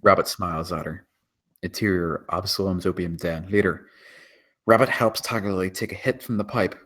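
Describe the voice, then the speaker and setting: A man's voice: sits low, fairly even intonation, normal speed, fairly bright tone. A man reading out stage directions as scripted screenplay narration at a table read.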